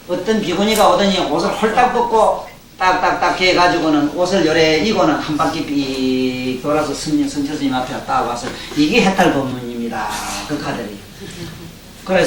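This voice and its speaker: An older man with a Gyeongsang accent giving a Buddhist dharma lecture, folksy and joking, often asking his listeners questions. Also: a little high. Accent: Gyeongsang dialect. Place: lecture hall. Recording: roomy hall, distant mic, faint hiss.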